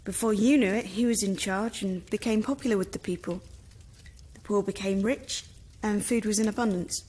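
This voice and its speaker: A woman's voice: medium pitch, fairly even intonation, normal speed, mellow, slightly rough, natural voice. A woman playing a grave rebel villager in an audio drama, earnest and explanatory. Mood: grave, informative.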